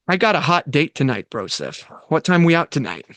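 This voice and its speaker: A man putting on a boastful bro for a drama table read. He is cocky and slangy, slightly raised in pitch, on a dry recording. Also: very animated, brisk, a bit bright, no room sound.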